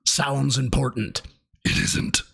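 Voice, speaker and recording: A man's voice: mid-range voice, fairly even intonation, slow, creaky, a bit bright, breathy edge. A man doing profane, sarcastic reaction commentary on a clip, mocking the speaker and reading out viewer messages. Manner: sarcastic, swears a lot, mocking.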